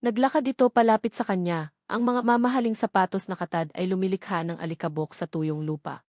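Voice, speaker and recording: Casting: female storyteller, mid-pitched, for dramatic scripted fiction, close dry sound. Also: brisk, a little mellow, no room sound.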